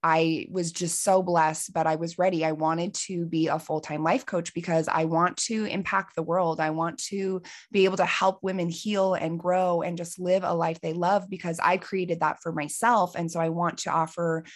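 A woman in her forties, a life coach hosting her podcast, telling a personal story in an earnest, heartfelt way, her voice a little hoarse from allergies. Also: on the low side, level tone, normal speed, hardly any pauses. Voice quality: slightly raspy.

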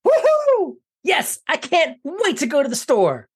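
A woman voicing an excitable, childish young male monkey in a children's cartoon, giddy and whiny. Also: high-pitched, measured pace. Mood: excited. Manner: giddy, whiny, easily distracted.

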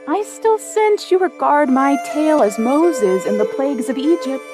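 Seafaring narrator, fairly high voice, grave and wry, literary audio drama with faint music beneath. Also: female voice, lively intonation, moderate pace. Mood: lecturing, wry.

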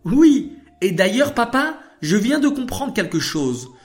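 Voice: medium pitch, sing-song, unhurried, faint music behind, voice pushed up. A storyteller voicing a curious, enthusiastic little girl who talks eagerly to her papa. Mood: enthusiastic, proud. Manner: eager, exclaiming, astonished questions.

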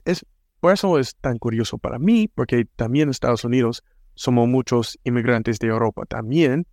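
Slow, hesitant American man hosting. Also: medium pitch, a little mellow, dry recording, clean studio sound. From United States. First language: English. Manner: hesitant, frequent restarts and fillers. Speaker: podcast host. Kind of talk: casual podcast intro.

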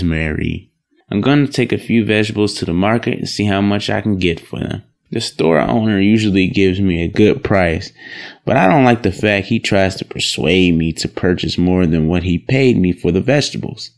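Gravelly, low-voiced young farmer played by a man. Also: normal speed. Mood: earnest, weary. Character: devoted young farmer husband, plain-spoken and proud. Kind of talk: audio drama acting.

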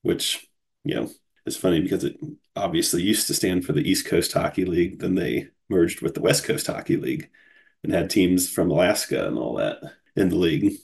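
A man's voice: deep voice, expressive, moderate pace, creaky, darkish tone, breathy edge. A man from Cincinnati hosting a solo podcast in a casual, chatty way, sounding groggy from a cold. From Cincinnati, USA. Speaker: podcast host.

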